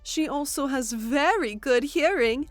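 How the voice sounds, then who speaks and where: A woman voicing a brash, playful, excitable female character who is not human, cocky and exclaiming, in an audiobook. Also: sits high, measured pace, no echo, faint music behind.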